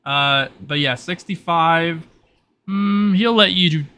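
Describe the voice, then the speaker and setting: A man with a bright, keen-edged voice runs a tabletop roleplaying game. He improvises his narration in a halting, rambling way, often correcting himself. Faint noise sits behind the voice. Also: a little high. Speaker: game master.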